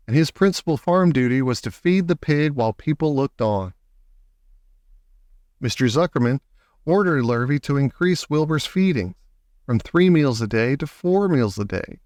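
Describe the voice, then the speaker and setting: A man narrating a children's story from a script in a measured, clearly worded way. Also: a little high, a little mellow, no echo, studio quality. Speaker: audiobook narrator.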